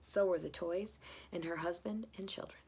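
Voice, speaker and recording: A woman's voice: on the low side, moderate pace, a little mellow, slightly rough. A woman reading a children's story aloud, with playful asides. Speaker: storybook reader.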